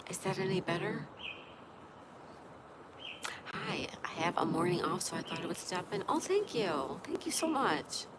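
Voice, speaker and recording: Congested low-voiced woman, noisy stream. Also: animated, unhurried, long pauses. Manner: greets viewers by name and reads chat aloud. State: congested, stuffed-up nose. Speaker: livestream host. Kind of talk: casual live chat with viewers. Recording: loud background noise.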